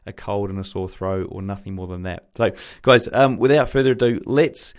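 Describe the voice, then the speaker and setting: A New man hosting a podcast, chatty and rambling with many fillers, his voice a little croaky from a sore throat. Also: fairly low pitch, fairly even intonation, normal speed. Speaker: podcast host. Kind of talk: casual podcast intro.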